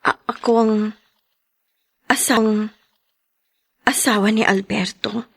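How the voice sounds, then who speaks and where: An actress playing a wronged young wife who pleads meekly and grows tearful, in a radio drama. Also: female voice, on the high side, fairly even intonation, slow pace, gravelly, a bit bright, husky, no echo, studio quality, full character voice. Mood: pleading, meek. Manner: meek and pleading, turning tearful.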